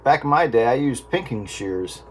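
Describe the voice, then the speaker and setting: A man chatting casually on a two-way radio, in a halting, folksy way. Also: medium pitch, expressive. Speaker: radio hobbyist on a group channel. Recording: noisy two-way radio transmission.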